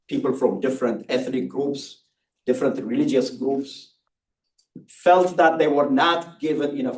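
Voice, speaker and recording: A mature man from Ethiopia with an Ethiopian accent, giving a public lecture with personal storytelling in a deliberate, hesitant, emphatic way. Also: medium pitch. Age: middle-aged or older. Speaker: university engineering lecturer. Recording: roomy, distant microphone.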